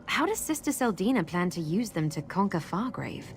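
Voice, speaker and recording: A woman playing a guarded, determined guide in a fantasy video game, speaking in a measured, resolute manner. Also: medium pitch, very animated, soft background music. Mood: wary, informative.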